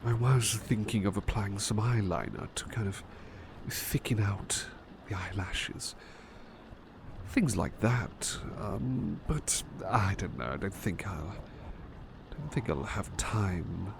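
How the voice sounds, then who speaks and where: A man playing a doting, cheeky butler in a gentle ASMR roleplay, repeating himself soothingly and often slipping toward a whisper. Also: lowish voice, slow, vocal fry, background noise. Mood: helpful, musing. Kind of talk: ASMR personal attention roleplay.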